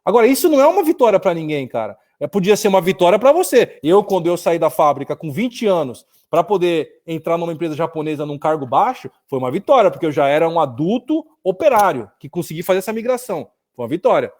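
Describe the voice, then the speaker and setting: A Brazilian man with a Brazilian Portuguese accent speaking from his office in a casual live discussion, telling stories in an animated way and often calling his listener "cara". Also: on the high side, quick pace, few pauses. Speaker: business owner and co-host. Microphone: video call.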